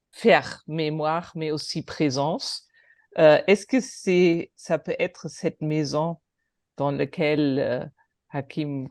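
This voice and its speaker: Very slow, halting panel moderator. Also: medium pitch, a little mellow, no room sound. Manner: halting, many fillers and restarts. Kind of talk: live panel discussion.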